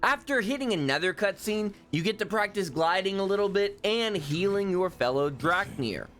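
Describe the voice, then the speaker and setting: A man's voice: high voice, animated, normal speed, a bit bright, soft background music. A young man giving casual, offhand commentary over gameplay, joking and cursing freely. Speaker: gaming YouTuber.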